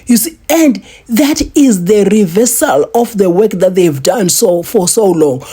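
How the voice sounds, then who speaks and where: A woman with a deep voice, giving casual political commentary and laughing often, with emphatic rises in pitch. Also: lively intonation, unhurried, warm tone, husky. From South Africa. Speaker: news commentary vlogger.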